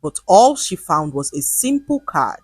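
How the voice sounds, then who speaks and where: Woman, storyteller, scripted folktale narration, very raspy, expressive and animated, close dry sound. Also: fairly low pitch, measured pace, no echo.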